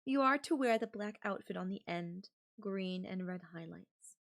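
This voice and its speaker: A woman performs a rich, domineering female chief executive for an audio roleplay. Her voice sits at a medium pitch for a woman. She speaks formally and imperiously, with cold condescension, as someone used to being obeyed. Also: measured pace, gravelly. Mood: smug, commanding. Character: controlling, haughty, wealthy female CEO, coldly condescending. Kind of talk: ASMR audio roleplay acting.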